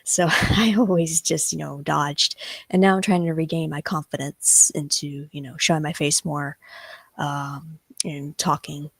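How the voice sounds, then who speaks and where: Shy young woman with a husky low voice. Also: steady tone, measured pace, dry recording. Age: young adult. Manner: shy, hesitant with many ums. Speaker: host. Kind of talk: livestream interview. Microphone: video call.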